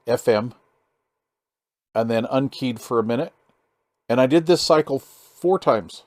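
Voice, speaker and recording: A man giving a casual hands-on demonstration as a hobbyist, talking in a halting, easygoing, folksy way and sometimes muttering to himself. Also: mid-range voice, no room sound. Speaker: hobbyist presenter demonstrating radio gear.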